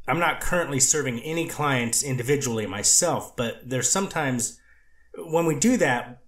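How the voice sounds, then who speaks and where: A man's voice: mid-range voice, fairly bright tone, roomy sound, close to an everyday voice. A man reading the part of a treatment counselor testifying in court, answering carefully with hesitant restarts. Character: male treatment counselor witness, hedging and careful. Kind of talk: readers theater courtroom reading.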